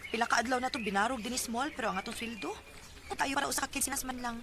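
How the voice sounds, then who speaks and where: A woman's voice: fairly high pitch, very fast, natural voice. A woman acting a worried, hesitant young working daughter in a Filipino radio drama. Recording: noisy radio drama recording.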